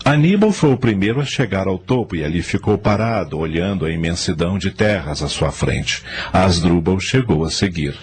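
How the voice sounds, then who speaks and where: A man with a low voice narrates a dramatic serial. The delivery is scripted storytelling. Music runs clearly beneath the voice. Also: lively intonation, normal speed, few pauses.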